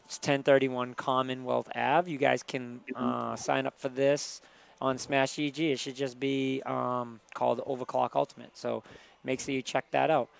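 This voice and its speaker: A man's voice: mid-range voice, little pitch movement, moderate pace, gravelly. A man hosting a gaming livestream, reading out tournament details in a casual way with lots of fillers. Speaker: livestream host.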